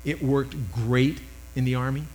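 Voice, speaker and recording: An older man in his sixties delivers a sermon in a loud, carrying voice. He leans into emphatic points, then pulls back to a hush. His pitch sits in the ordinary middle range for a man, and he speaks into a microphone. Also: steady tone, a little slow. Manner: emphatic preaching, swinging from loud to hushed. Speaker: preacher.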